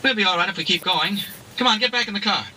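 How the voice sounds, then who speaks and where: A man's voice: sits high, fast talker, bright, forceful tone, low-quality recording. An actor playing a mild-mannered young male reporter in a 1940s radio drama, hesitant and polite. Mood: reassuring. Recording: old radio recording with hiss.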